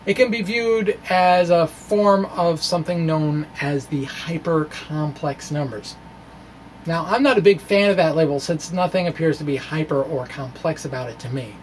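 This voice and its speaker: A middle-aged man giving an informal physics explanation in a quirky, wry, halting manner with animated emphasis. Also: fairly high pitch, rough recording. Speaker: physics video blogger. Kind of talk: informal explanatory monologue, dramatic reading of own blog. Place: small room with bookshelves. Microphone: handheld-style microphone on stand in front of him. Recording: clear background room noise.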